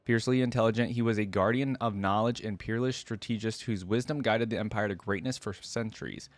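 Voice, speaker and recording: Low-voiced man doing casual reaction commentary. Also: steady tone, brisk, no room sound. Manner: informal, conversational asides with frequent "like". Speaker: reaction video host. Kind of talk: casual reaction commentary mixed with reading aloud.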